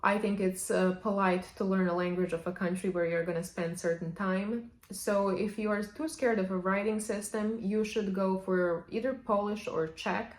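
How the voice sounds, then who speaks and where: A woman's voice: medium pitch, steady tone, moderate pace, hardly any pauses. Woman giving language tips. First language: Serbian. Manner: chatty, opens many sentences with "however". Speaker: language vlogger. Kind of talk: casual advice to camera. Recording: echoey room.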